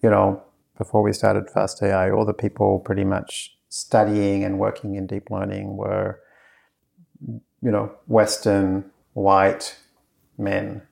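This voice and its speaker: A man with a heavily gravelly, creaky voice pitched on the low side. He chats casually in an interview, rambling through his points with fillers and restarts. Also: monotone, takes it slowly, darkish tone. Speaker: course creator being interviewed. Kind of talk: casual conversation.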